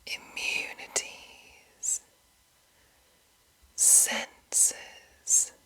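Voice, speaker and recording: A woman whispering an ASMR reading in a breathy, unvoiced voice, with slow and deliberate phrasing. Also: sharp tone. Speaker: ASMR host reading a monster manual.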